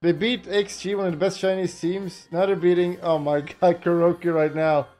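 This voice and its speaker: A man's voice: high-pitched, little pitch movement, normal speed, a little mellow, faint music behind. A man chatting casually on a livestream as he reacts to a broadcast, blunt and exasperated, swearing often. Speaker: live streamer reacting to a tournament broadcast. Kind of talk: casual livestream chat and commentary.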